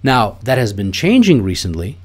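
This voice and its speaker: A man's voice: fairly low pitch, very animated, moderate pace, a little mellow, slightly rough. A man from Russia speaking as a guest in a casual interview, opinionated and thoughtful, with frequent fillers and restarts.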